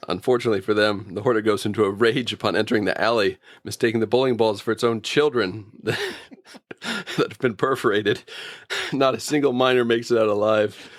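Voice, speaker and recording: A man's voice: on the low side, fairly even intonation, fairly quick, dry recording, studio quality. A man co-hosting a podcast, chatting casually and jokingly as in a review show. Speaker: podcast co-host. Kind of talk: casual comedic review chat.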